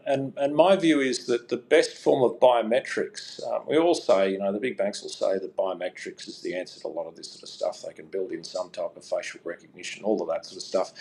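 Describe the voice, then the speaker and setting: A man's voice: medium pitch, creaky, a little mellow, low-quality recording. A man with an Australian accent, from New South Wales, speaking as a guest in a radio interview, earnest and full of fillers and restarts. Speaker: guest, regional banking alliance spokesman.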